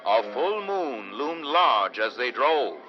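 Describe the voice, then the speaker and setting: Showy vintage radio announcer, animated. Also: male voice, medium pitch, slow, muffled recording. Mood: ominous, dramatic. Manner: dramatic, showy. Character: theatrical male 1950s radio announcer, suspense narrator. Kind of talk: vintage radio scripted narration. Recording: band-limited old radio sound with music.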